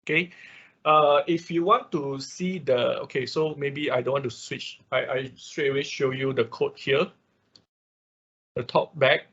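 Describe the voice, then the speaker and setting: A man giving an informal technical lesson online, explaining haltingly, repeating himself and tagging phrases with quick check-ins. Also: mid-range voice, steady tone, a bit bright, slightly rough. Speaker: workshop instructor. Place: online class over a computer. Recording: dull lo-fi online call audio.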